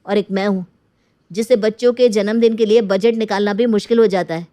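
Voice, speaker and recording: A woman's voice: mid-range voice, fairly even intonation, brisk, warm tone, no room sound, clean studio sound, natural voice. A woman voicing an aggrieved grown son in a moral story, proud, bitter and insistently complaining. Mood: bitter, pleading.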